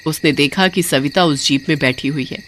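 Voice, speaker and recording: A woman's voice: deep voice, normal speed, a bit bright, a little hiss or hum. A woman narrating a story in a dramatic, scripted storytelling style.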